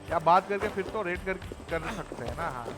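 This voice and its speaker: Fast, high-pitched man gaming. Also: expressive. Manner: informal, talking to viewers. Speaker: gamer hosting a walkthrough. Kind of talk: casual gameplay commentary. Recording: game music behind the voice. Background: video game music and sounds.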